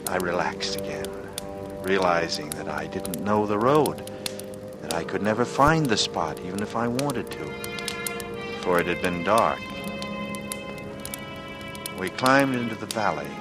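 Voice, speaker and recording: A man's voice: sits low, expressive, unhurried, pauses often. An actor playing a secretly guilty man who confides tensely and feigns innocence, in 1940s radio noir style. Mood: tense, brooding. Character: secretly guilty strangler narrating, nervy, falsely casual man from the East. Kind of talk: 1940s radio noir acting, first-person narration. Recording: old radio recording with loud music.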